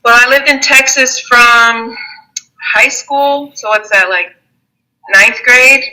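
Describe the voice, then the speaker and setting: A woman's voice: on the high side, takes it slowly, sharp tone, rough recording. A woman in her thirties who grew up in Germany and the United States, a Muay Thai fighter chatting as an interview guest, laughing often and restarting her sentences. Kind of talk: casual interview conversation.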